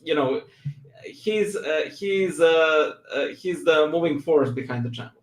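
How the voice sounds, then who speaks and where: A man's voice: on the high side, measured pace, reverberant. A young adult man from Serbia with a Serbian accent, whose first language is Serbian, speaking as a guest in a casual interview, laughing often and making self-deprecating jokes. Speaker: guest, church reader and YouTube creator.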